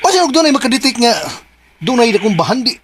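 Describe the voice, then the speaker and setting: A man's voice: very high-pitched, sing-song, fast. A Filipino man playing a flamboyant, bossy, scheming son in a theatrical radio drama style. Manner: theatrical, repeats orders insistently. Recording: noisy radio drama with vehicle ambience.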